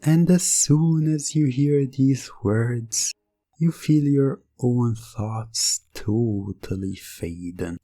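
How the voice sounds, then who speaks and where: A man narrating scripted hypnosis ASMR in the second person, drawing out his phrases in a halting, suggestive way. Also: medium pitch, warm tone, no echo. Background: music.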